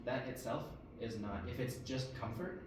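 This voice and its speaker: A man from Ethiopia, a guest in a casual interview, explaining his views in a thoughtful, measured way. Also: medium pitch. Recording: echoey room, mic far off, noisy.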